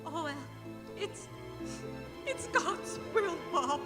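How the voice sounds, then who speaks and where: A woman's voice: very high voice, expressive, extremely slow, heavily acted. A woman playing a sorrowful, resigned wife and mother in a stage radio drama, speaking mournfully. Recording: reverberant theatre hall, music underneath.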